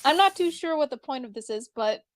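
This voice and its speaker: A woman playing an awkward, brainy young tech whiz, wordy and deadpan, in an amateur dramatic reading. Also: high-pitched, fairly quick, dry recording.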